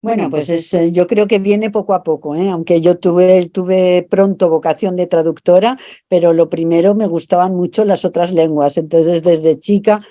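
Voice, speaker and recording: A woman's voice: lowish voice, steady tone, moderate pace, mellow, dry recording. A middle-aged woman from Spain with a Spanish accent, a translator and professor speaking in an interview, thoughtful and often repeating words while she searches for her phrasing. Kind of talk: interview over video call.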